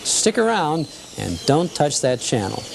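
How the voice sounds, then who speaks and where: A man's voice: a little high, big pitch swings, measured pace, vocal fry, slight background noise, low-quality recording. A man hosting a nostalgia TV show in an informal, folksy, chatty way with hesitant phrasing. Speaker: host.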